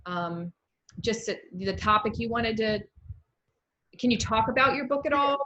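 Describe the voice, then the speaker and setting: A woman's voice: fairly high pitch, lively intonation, unhurried, a bit bright, highs cut off. A woman hosting a casual literary interview, asking questions in an encouraging, conversational way. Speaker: interview host.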